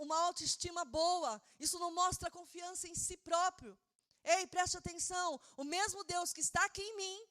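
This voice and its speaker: Woman pastor, non-native speaker, high voice, fervent sermon delivery with loud peaks. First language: not Portuguese. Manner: impassioned preaching, building to shouted emphasis; repeats words, stumbles and restarts while preaching.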